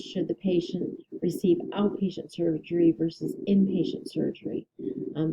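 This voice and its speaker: A woman instructor giving a recorded lecture, her voice a little hoarse, explaining steadily with ums and self-corrections. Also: fairly low pitch, background noise. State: slightly hoarse, clearing throat.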